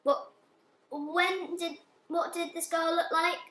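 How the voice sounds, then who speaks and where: A woman playing an earnest, guilt-stricken young well-off daughter in an amateur radio play, speaking a little haltingly. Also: very high voice, steady tone, bright, forceful tone, slight background noise, full character voice. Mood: uneasy. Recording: echoey room, amateur recording.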